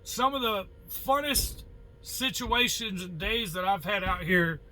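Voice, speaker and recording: An American man with a high, very bright and forceful voice speaks like a working trucker giving advice. He is blunt and folksy, casually opinionated, with mild cursing and questions thrown at the listener. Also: animated, measured pace, poor audio. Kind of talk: casual opinionated monologue to viewers.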